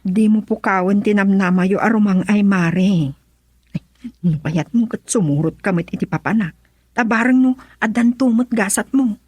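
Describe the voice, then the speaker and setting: Woman to play ambitious matronly neighbor, Ilocano accent, radio drama. Emphatic, husky, recorded close and dry. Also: mid-range voice, normal speed, darkish tone, dry recording, lightly acted. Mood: encouraging. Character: better-off village friend lending money, brisk, ambitious, matronly.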